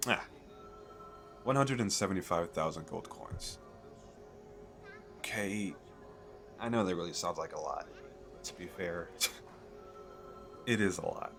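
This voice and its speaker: A man playing an eager, chatty fantasy merchant in an audio roleplay, talking the listener through a sales pitch in a hesitant, rambling, persuasive way. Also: slow pace, long pauses, music in the background. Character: eager, chatty male fantasy merchant selling magical crystal castles.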